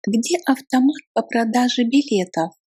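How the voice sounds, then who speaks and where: A woman teaching beginner phrases in a slow, deliberate drill, saying each phrase in full and then word by word. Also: fairly high pitch, darkish tone, husky. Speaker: language teacher.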